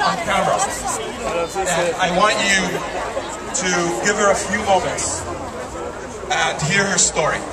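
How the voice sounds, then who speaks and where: Rousing man hosting a loud rally. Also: sits high. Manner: rousing, declamatory. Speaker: rally host. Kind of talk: impassioned rally speech. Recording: distant, echoey PA voice over a loud crowd.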